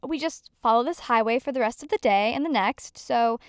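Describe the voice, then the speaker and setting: A woman plays an easygoing young woman at the wheel in an audio drama. Her voice is high and closely recorded with a dry sound, and her delivery is playful and casual. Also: a little fast, no echo, close to an everyday voice. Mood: practical. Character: upbeat, easygoing young female driver on a road trip. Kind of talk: student audio drama acting.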